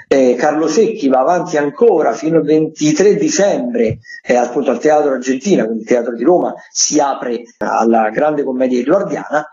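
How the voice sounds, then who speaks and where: A man hosts a radio arts listings programme, half reading and half improvising, with halting repetitions. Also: on the high side, dark tone. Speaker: radio host presenting theatre listings. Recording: dull lo-fi radio sound.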